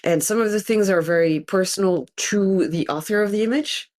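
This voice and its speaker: A woman with a French accent, a native French speaker, speaking as a guest in a casual interview and telling her story in a lively, animated way with frequent restarts. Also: medium pitch, normal speed. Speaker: guest, tarot reader.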